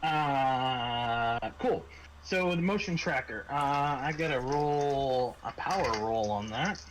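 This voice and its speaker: A man narrating a tabletop roleplaying game as game master, in a halting, improvised way. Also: mid-range voice, a little hiss or hum, low-quality recording.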